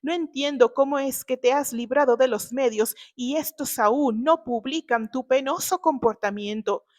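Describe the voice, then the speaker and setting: A woman's voice: sits high, normal speed, natural voice. A woman voicing a brash, scheming young man in a dramatized novel reading, spiteful and accusing. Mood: resentful. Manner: accusing, stammering when cornered.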